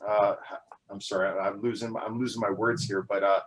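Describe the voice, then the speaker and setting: A man's voice: lowish voice, flat delivery, moderate pace. A man in his forties, from Canada, answering interview questions in a casual, hesitant way with many fillers and restarts, often laughing. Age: 40s. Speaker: guest.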